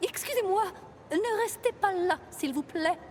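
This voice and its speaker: A woman playing a vain, fussy, excitable female railcar in a children's cartoon, haughty and theatrical. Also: extremely high pitch, a little slow, slight background noise. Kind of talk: children's animated series dub.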